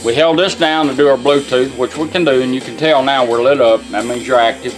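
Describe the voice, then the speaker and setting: A man's voice: medium pitch, fairly even intonation, brisk, poor audio. Plainspoken man demonstrating, music playing behind. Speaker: presenter demonstrating restored vintage car radios. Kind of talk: casual hands-on product walkthrough. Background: music from the demonstrated radio.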